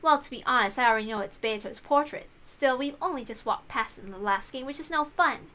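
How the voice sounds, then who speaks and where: A woman's voice: high voice, a little fast, pushed and bright. A woman voicing a sly, smug girl detective in a story reading, with an arch, knowing manner. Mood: curious, scheming.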